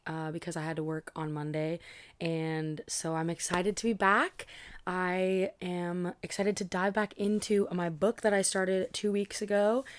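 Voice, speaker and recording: A young woman streaming a read-along, chatting casually with lots of fillers and asides, her voice tired and a little scratchy. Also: medium pitch. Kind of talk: casual chat to a live audience.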